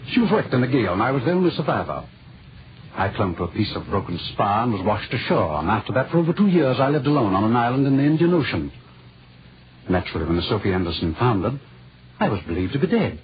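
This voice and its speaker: An actor playing an earnest, agitated British lord with a formal manner, in 1940s radio style. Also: male voice, medium pitch, big pitch swings, fast, natural voice. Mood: tense recounting. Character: earnest, agitated young English lord seeking justice. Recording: muffled, hissy old radio recording.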